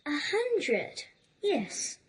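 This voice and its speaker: A woman's voice: high voice, wildly swooping pitch, extremely slow, heavily acted. A woman playing a dreamy, imaginative child, playfully spinning fairy tales in an audio drama.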